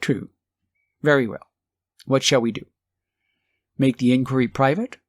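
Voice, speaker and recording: A man playing a poor old working husband in an audiobook story, excitable and emphatic. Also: mid-range voice, unhurried, no room sound, studio quality, lightly acted. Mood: eager.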